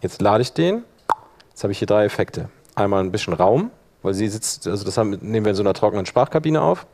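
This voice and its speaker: A man's voice: lowish voice, big pitch swings, fast, a little mellow. A man presenting a workshop in an informal tutorial style, thinking aloud as he demonstrates step by step.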